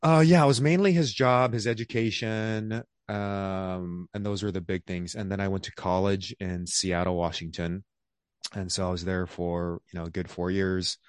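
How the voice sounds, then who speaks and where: Deep-voiced guy in his 30s, laid back and rambly, lots of ums, dry close mic. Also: fairly even intonation, normal speed, clear, resonant tone, no room sound. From born in South Korea, raised across the United States. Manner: easygoing, rambling, many fillers. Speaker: guest, physician. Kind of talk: casual interview conversation.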